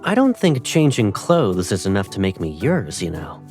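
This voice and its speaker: A man playing a confident, tender young pilot in a romantic game drama, speaking softly and intimately. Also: on the low side, wildly swooping pitch, normal speed, faint music behind. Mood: teasing.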